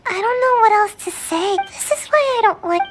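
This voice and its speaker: A woman's voice: very high-pitched, animated, normal speed, very noisy. A woman voicing a small, discouraged young ninja girl in a video game, speaking timidly and hesitantly. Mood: downcast, apologetic. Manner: timid, hesitant, self-doubting. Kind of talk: video game character dialogue.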